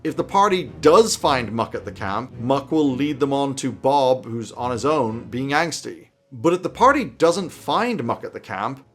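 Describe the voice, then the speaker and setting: A man in his thirties giving a conversational explainer to camera as a host, with an animated, casual, dryly humorous manner. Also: medium pitch, measured pace, few pauses, soft background music. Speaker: tabletop roleplaying game advice host. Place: small home office, indoors.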